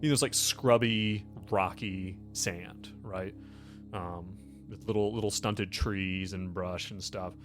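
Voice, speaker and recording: An American man narrating a tabletop game as game master, improvising in a halting, conversational way. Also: deep voice, fairly even intonation, fairly bright tone. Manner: halting, conversational, peppered with "like" and "you know". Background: faint music.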